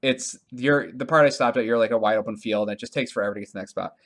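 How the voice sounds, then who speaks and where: A man co-hosting a podcast, talking casually about video games in a halting way, often restarting his sentences and leaning on filler words. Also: mid-range voice, fairly bright tone. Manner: halting, self-correcting, heavy use of "like". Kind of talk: casual conversational game review chat.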